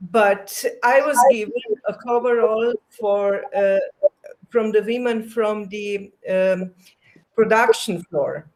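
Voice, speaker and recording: Woman, slow pace, careful halting phrasing, personal recollection. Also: medium pitch, a little mellow, rough recording. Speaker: roundtable panelist.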